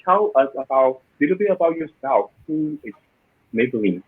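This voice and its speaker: A man's voice: medium pitch, lively intonation, normal speed, breathy edge. A man hosting an online interview show over a video call, upbeat and welcoming, asking loose, rambling questions. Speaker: host. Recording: tinny phone-like line.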